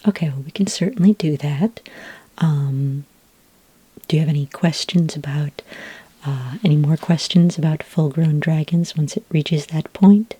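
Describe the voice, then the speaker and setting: A patient, helpful female dragonmaster, played by a woman, speaks in a low, husky whisper for an ASMR fantasy roleplay. She explains things gently and without hurry, pausing with small hesitations. The sound is close and dry. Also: no echo. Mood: helpful, calm. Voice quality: breathy, whispery. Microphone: close microphone in a dry space.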